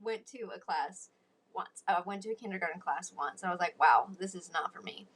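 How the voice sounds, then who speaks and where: A woman's voice: lowish voice, a little fast, a bit bright, husky. Guest, young woman, Southern drawl, laughs easily, self-deprecating. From Texas. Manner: chatty, giggly, self-deprecating. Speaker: guest, interior designer. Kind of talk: casual interview chat.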